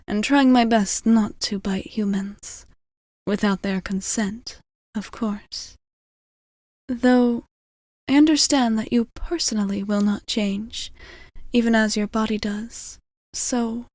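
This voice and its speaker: A woman acting a slow, soft-spoken, gentle vampire. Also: medium pitch, no room sound, clean studio sound. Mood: calm, explaining. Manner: soft-spoken, reassuring, often slipping into whispers. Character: gentle, caring female vampire bartender, romantically devoted. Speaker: ASMR roleplay performer. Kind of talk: slow, intimate ASMR roleplay. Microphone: close ASMR microphone.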